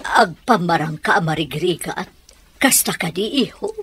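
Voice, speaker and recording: An ailing older Filipino mother in a radio drama. She frets anxiously, and her phrases trail away softly. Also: fairly low pitch, expressive, moderate pace, breathy edge, exaggerated voice. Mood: worried, gentle. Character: worried, ailing older mother fretting over her son.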